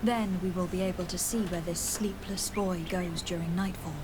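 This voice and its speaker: An actress playing a composed, thoughtful young adventuring heroine in a video game, measured and formal. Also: female voice, on the low side, fairly even intonation, heavy background noise, natural voice. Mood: determined. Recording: loud game ambience behind voice.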